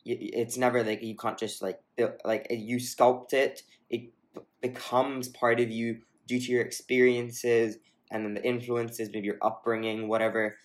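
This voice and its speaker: Reflective, hedging man in mid pitch. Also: little pitch movement, normal speed. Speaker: podcast co-host. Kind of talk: casual discussion.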